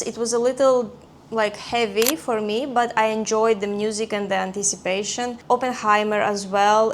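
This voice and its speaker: Young woman, Greek accent, mid pitch, chatty vlogger, faint hum behind. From Greece. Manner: chatty, thinking aloud with fillers and small restarts. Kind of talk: casual vlog chat.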